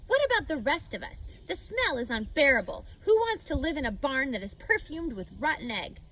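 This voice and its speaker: A woman's voice: high-pitched, very animated, a little fast, a bit bright, voice pushed up, poor audio. A woman voicing a young lamb who complains in a whiny way. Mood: complaining.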